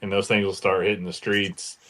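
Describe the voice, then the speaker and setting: A man with an American accent and a low-set voice. He speaks in a casual, conversational way, like a co-host chatting on a podcast. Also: flat delivery, normal speed, a bit bright. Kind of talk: casual chat.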